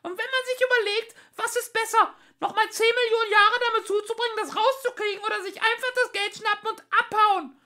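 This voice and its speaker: A man voicing two scheming male mice scientists in a very high squeaky voice, reading an audiobook. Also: heavily acted. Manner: fast, excited, scheming.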